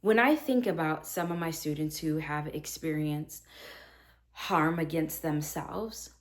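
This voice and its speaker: Woman teacher, thirties, earnest and animated, somewhat low voice. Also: measured pace. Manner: earnest, animated, acts out her own quoted lines. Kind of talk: talking to fellow teachers about classroom practice.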